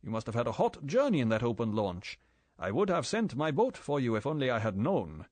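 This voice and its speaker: A man's voice: medium pitch, very animated, fairly quick, darkish tone, dry recording. A man voicing a suave yacht owner who speaks in a polite, formal and courteous way. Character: suave male yacht owner, smooth host.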